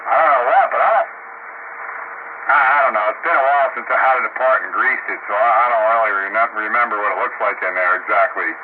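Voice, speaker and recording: A man's voice: fairly low pitch, fast. A middle-aged or older American man chatting casually about technical matters in a colloquial, folksy way over ham radio. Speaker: amateur radio operator. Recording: narrow, phone-like ham radio audio, static noise.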